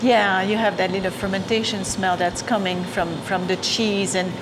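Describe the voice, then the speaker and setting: A woman from Belgium with a Belgian French accent, explaining how her cheese is made in a halting way, with many fillers and restarts. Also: mid-range voice, level tone, noisy background. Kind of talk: casual explanation during a tour.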